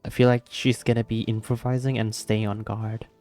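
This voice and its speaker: A man's voice: fairly low pitch, little pitch movement, moderate pace, darkish tone. A man giving casual gameplay commentary, reacting and repeating words to himself in a muttering way. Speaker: gaming video creator. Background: game music.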